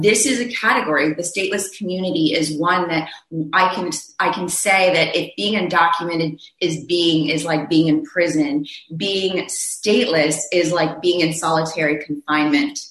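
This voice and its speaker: A man's voice: high-pitched, normal speed, sharp tone, reverberant, poor audio. A man speaking earnestly on a panel discussion, telling his own story, often restarting his phrases. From Zambia.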